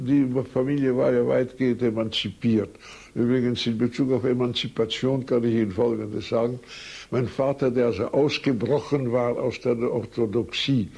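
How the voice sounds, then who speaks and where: A man's voice: mid-range voice, gravelly, dark tone, breathy edge. An older man from Switzerland whose first language is French, telling his life story in a recorded interview, rambling and anecdotal with frequent hesitations and self-corrections. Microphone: recorded on tape.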